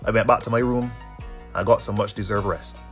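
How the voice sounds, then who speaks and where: A man's voice: lowish voice, steady tone, brisk, faint music behind. A man in his thirties from Barbados with a Bajan accent, talking casually about his travels in a laid-back, colloquial way. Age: 30s. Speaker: travel vlog host. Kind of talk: casual travel narration and interview answers.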